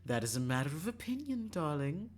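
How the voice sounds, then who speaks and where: A man's voice: on the high side, very animated, a little fast, faint music behind, voice pushed up. A man putting on a coy, flirtatious dandy. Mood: teasing.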